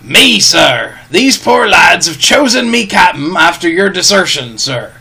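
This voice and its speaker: A man acts out a wily pirate captain from an adventure storybook. He is hearty and ingratiating, blustering in rustic sailor speech at a raised pitch. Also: very animated, unhurried, vocal fry, crowd noise, heavily acted. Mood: bold, bargaining. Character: Long John Silver, cheerful, wily one-legged male pirate captain. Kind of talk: audiobook character voice.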